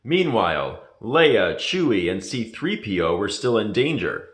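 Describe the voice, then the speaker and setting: Slow, dramatic male storybook narrator. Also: lowish voice, very animated, fairly bright tone. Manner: dramatic, deliberate. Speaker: storybook reader. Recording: echoey room.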